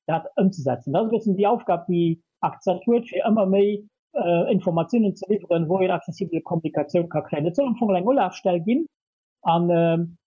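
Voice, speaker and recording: A Luxembourgish man answers a radio interviewer and hesitates often, with many fillers. His voice sits a little high and sounds husky and mellow. The recording is dull and muffled. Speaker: guest from a communication center.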